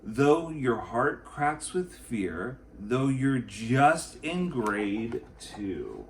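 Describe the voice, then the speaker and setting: A man, a teacher, reading a children's picture book aloud in an expressive storytelling style. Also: mid-range voice, drawn-out words, slight background noise.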